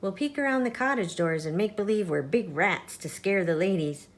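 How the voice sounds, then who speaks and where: A woman's voice: mid-range voice, expressive, normal speed, close to an everyday voice. A mischievous young boy quokka from a picture book, played by a woman. He talks in a playful, teasing way, like a cheeky pal. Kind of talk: children's storybook character reading.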